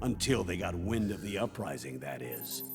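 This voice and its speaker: Earnest brainy ally, slightly creaky voice. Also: male voice, low voice, sing-song, full character voice. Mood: earnest, grave. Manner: earnest, expository, measured. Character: earnest, brainy adult male tech ally. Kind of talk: dramatic video game cutscene exposition. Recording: music behind the voice. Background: music.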